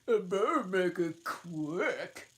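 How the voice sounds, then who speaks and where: A woman's voice: fairly low pitch, expressive, slow pace, pitched above the performer's own voice. A woman voicing a rude, smug man who makes hats, in a storybook reading.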